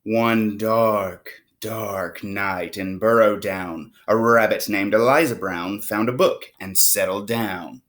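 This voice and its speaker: A woman reading a rhyming picture book aloud to children with expressive, dramatic storytelling. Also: rumbling low, takes it slowly. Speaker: storybook reader.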